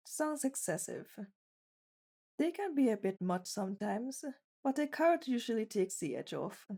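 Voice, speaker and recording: A woman's voice: a little high, sing-song, moderate pace, dark tone. A woman playing a shy, skittish stray bunny girl in an ASMR roleplay, speaking in a hesitant, easily flustered way. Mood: uneasy, musing.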